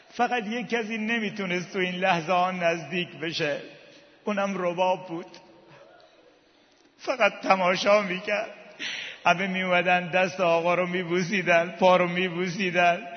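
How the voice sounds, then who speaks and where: A man telling a sacred tragedy as a religious eulogist, with a plaintive, impassioned and drawn-out dramatic delivery. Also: high voice. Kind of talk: mournful religious storytelling with chanted lines. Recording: muffled, long hall reverb.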